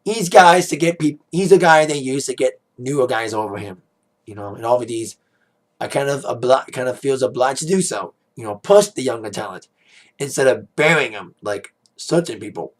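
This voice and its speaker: A man with a raspy, worn-out voice giving a casual spoken review, rambling with plenty of "like" and "uh". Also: mid-range voice, normal speed. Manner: rambling, heavy on "like", "uh" and "you know". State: hoarse, voice fading after a DJ gig. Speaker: wrestling pay-per-view reviewer.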